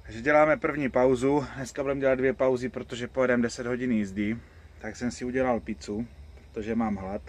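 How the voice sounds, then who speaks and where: A man from the Czech Republic chatting casually and colloquially as a truck driver, commenting on his trip from inside the cab. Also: steady tone. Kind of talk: casual commentary while driving. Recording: loud engine and road noise. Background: vehicle rumble and road noise.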